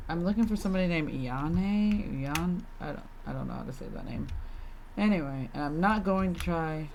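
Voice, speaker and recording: An exasperated streamer talks through a video game in a casual, grumbling way, with a medium-pitched voice and some swearing. Music plays behind the voice, along with the clatter of typing. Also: normal speed, gravelly, a little hiss or hum. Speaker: livestreamer playing a video game.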